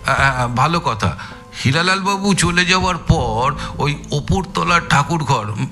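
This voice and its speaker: An actor playing a shrewd, courteous male investigator in an audio story, questioning in a measured, probing way with thoughtful pauses. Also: a little high, lively intonation, bright, forceful tone, faint music behind, heavily acted. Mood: probing, casual. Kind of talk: audio story dramatised dialogue.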